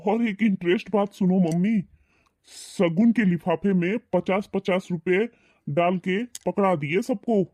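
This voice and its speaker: A man's voice: high-pitched, a little slow, darkish tone, no room sound. A snobbish young husband and mama's boy from a cartoon family drama , played by a man. He complains with open contempt, dragging his words out in a close, dry recording. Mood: scornful complaint. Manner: sneering, complaining. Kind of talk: animated family drama dialogue.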